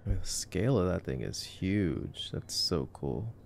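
A man playing a nerdy, affectionate boyfriend in an ASMR sleep-aid roleplay, soft-spoken, teasing and rambling as he comments on a movie. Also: low-pitched, very animated, a little slow, gravelly, a little hiss or hum, exaggerated voice. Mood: wry, amused.

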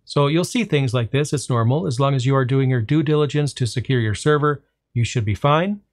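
Man walking through a server dashboard. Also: medium pitch. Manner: relaxed explanatory, drawn-out "well" before punchlines. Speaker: tech tutorial host. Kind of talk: screen-recorded software walkthrough.

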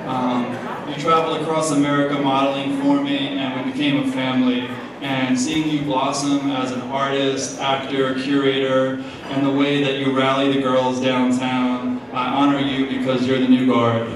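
A man's voice: medium pitch, flat delivery, moderate pace. A young man from New Jersey giving a casual, joking acceptance speech at a gala, full of fillers, heard through the podium mic from the audience. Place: large hall, on stage at a gala. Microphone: podium mic through speakers, recorded from the audience. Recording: echoey hall from far off, noisy crowd. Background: crowd murmur and room noise.

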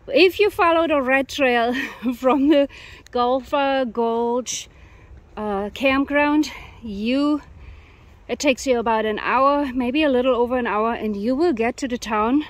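A woman with a high voice narrates a hike for a family vlog at a slow, unhurried pace. She is chatty and easygoing, talking casually outdoors into a handheld camera. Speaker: family travel vlogger and mother. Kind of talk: casual narration while hiking. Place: outdoors on a wooded trail. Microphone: handheld camera, held by the speaker.

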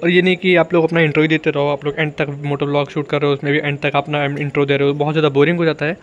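Young indian guy doing a casual how-to vlog, talks really fast, kinda lo-fi muffled audio. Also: fairly high pitch, flat delivery. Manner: informal, repetitive explaining, mixing in English words. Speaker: motovlogging YouTuber giving beginner tips. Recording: dull, lo-fi sound.

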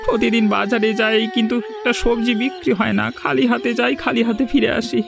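An actor playing an anxious, downhearted poor village younger brother in a cartoon, speaking in a plaintive way. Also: male voice, very high voice, steady tone, fairly quick, vocal fry, a bit bright, heavily acted. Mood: worried, downhearted. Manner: plaintive, worried. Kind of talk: cartoon moral story voice acting.

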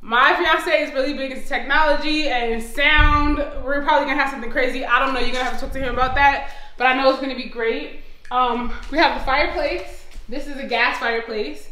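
A woman's voice: high-pitched, fairly even intonation, a little fast, pushed and bright. A young American woman chatting casually and enthusiastically to camera as she gives a walk-through tour of a home. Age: young adult. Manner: upbeat, enthusiastic. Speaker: vlogger giving a home tour. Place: empty rooms of a house under renovation, indoors. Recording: echoey empty rooms.

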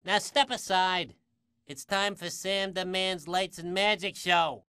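A man's voice: high voice, slow pace, pushed and bright, clear, resonant tone, dry recording, clean studio sound, heavily acted. A man voicing a cocky, wisecracking little undead sidekick in a comic video game, with a showy drawl.

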